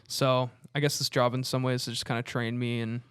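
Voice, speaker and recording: A man chatting casually as a podcast co-host, telling stories in an informal, halting way and acting out the dialogue. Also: mid-range voice, flat delivery, a bit bright, clean tone, no echo. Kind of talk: casual conversational storytelling.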